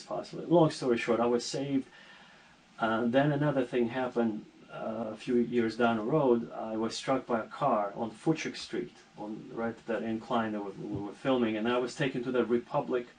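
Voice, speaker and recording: A middle-aged man from Armenia talks his way through his life story in an earnest, rambling style, often breaking off and restarting his sentences. His voice sits at an ordinary pitch and sounds a little distant from the microphone. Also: a little mellow. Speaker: interview guest. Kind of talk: casual video-call conversation.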